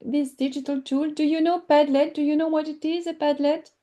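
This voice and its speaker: A woman with a French accent lecturing in English over a video call, pausing often and searching for words with many fillers. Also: sits high, flat delivery, warm tone. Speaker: workshop lecturer. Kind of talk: online teaching session.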